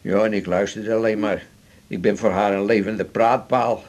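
A man's voice: on the low side, steady tone, moderate pace, a little mellow, natural voice. An actor playing a chatty, blunt, wry man with an earthy colloquial manner in a 1980s radio drama. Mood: wry, grumbling.

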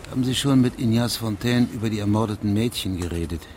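An actor playing a grave, persistent investigating judge in a German radio play, questioning insistently in a husky, breathy voice. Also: male voice, lowish voice, fast, darkish tone, slight background noise, close to an everyday voice. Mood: stern, probing.

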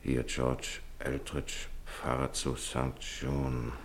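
An actor playing a grave, kindly parish priest in a 1950s German radio drama, speaking in a measured, consoling manner on a noisy old recording. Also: male voice, extremely low pitch, monotone, exaggerated voice. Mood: solemn, consoling.

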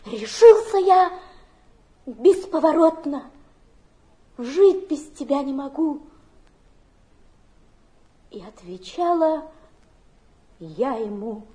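Stage role: a tearful young streetwalker lost in romance, very high voice, slow theatrical delivery. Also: female voice, warm tone, exaggerated voice. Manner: drawn-out, melodramatic, emotional delivery. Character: overwrought young fallen woman, dreamy and tearful, telling romantic fantasies. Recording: archive stage drama recording.

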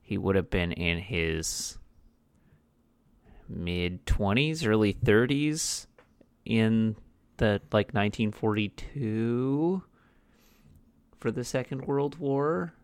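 A man's voice: on the low side, slow pace, pauses often, clean tone, no echo. A young man co-hosting a casual movie podcast, dry and matter-of-fact as he recaps a plot. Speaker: podcast co-host.

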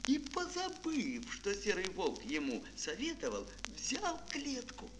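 Male storyteller for a children's tale: theatrical, slightly high, swooping intonation, unhurried, over prominent music. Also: wildly swooping pitch, background noise. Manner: dramatic, declamatory. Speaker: narrator. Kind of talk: theatrical scripted fairy-tale narration for children.

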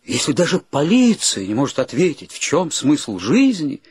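A man's voice: a little high, wildly swooping pitch, moderate pace, husky, no room sound, exaggerated voice. An actor playing a wry, philosophizing penniless drifter in a radio play, plaintive and ironic in his delivery. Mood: wry, world-weary. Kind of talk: Russian radio play acting.

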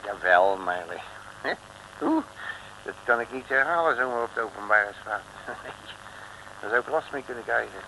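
An actor playing a bumbling, boastful, excitable detective in a folksy, exclamatory 1960s radio comedy serial. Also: male voice, lowish voice, moderate pace, gravelly, long pauses. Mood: grumbling, scheming. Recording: noisy old radio recording.

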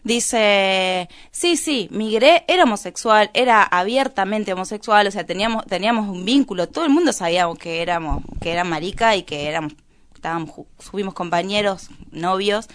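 A woman's voice: mid-range voice, animated, moderate pace, fairly bright tone. A woman with an Argentine accent chatting casually as a radio columnist, telling a story in a gossipy, conversational way.

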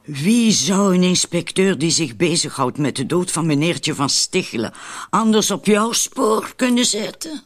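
An actor playing a wary, needling woman in a radio drama, with a husky voice. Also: high voice, lively intonation, a little slow, a bit bright, heavily acted. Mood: wary, anxious. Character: wary, needling woman named Marilyn, accomplice in poisonings.

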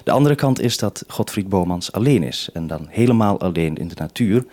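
Low-voiced male radio host. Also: animated, fairly quick, darkish tone. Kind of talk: explanatory radio presenting and interviewing.

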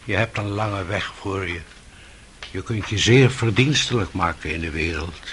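An actor playing a gentle, dying old monastery elder in a radio drama, solemn and fatherly. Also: low-pitched, normal speed, a little hiss or hum. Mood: solemn, tender. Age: older.

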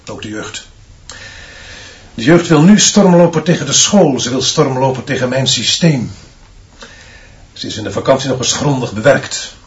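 Stern male headmaster, played by an actor, radio drama, mid-pitched voice, clipped and unbending, slightly dull recording. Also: moderate pace, pauses often, close to an everyday voice. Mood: forceful, grim. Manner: clipped, authoritarian pronouncements. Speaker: school director addressing his teaching staff.

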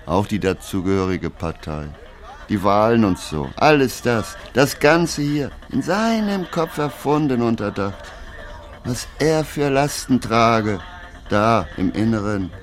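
A man's voice: fairly low pitch, animated, a little slow. A man acting in a radio play, relaying a self-important visionary's boasts in emphatic, clipped phrases. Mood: burdened. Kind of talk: radio play narration in reported speech.

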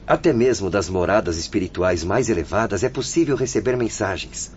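A patient male spirit companion acted by a man with a very low voice, consoling and formal in old-style soap delivery. The sound is muffled, with faint noise. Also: a little fast, close to an everyday voice. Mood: reassuring. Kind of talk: radio soap opera acting. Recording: muffled, noisy radio drama recording.

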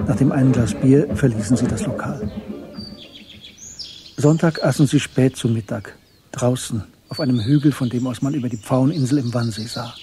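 A man narrating a German literary radio play in a measured, sober storytelling manner. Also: medium pitch, background noise, close to an everyday voice. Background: music.

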